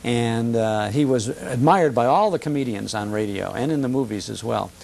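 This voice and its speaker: Creaky-voiced American man chatting. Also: medium pitch, expressive, normal speed, a little mellow, slight background noise. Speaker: guest expert on old-time radio. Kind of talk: conversational interview commentary.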